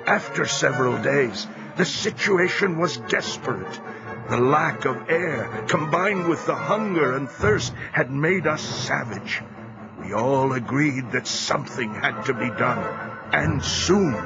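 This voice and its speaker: An actor playing a former prisoner of war, gravely narrating his hardships in an audio drama. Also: mid-range voice, expressive, slow. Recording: muffled recording, music behind.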